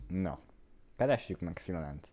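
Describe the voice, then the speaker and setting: A man's voice: low-pitched, animated, moderate pace, dark tone, slight background noise. A Hungarian man giving casual commentary as he plays through a video game. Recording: game music behind the voice. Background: game music.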